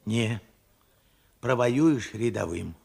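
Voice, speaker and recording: An actor playing a frank, weary man in his late seventies in a radio drama, with a gravelly, husky voice and a halting, reflective manner. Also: medium pitch, animated, a little mellow, full character voice. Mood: blunt. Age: 70s. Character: weary, frank 78-year-old Russian worker recalling his life. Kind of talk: Soviet radio drama acting.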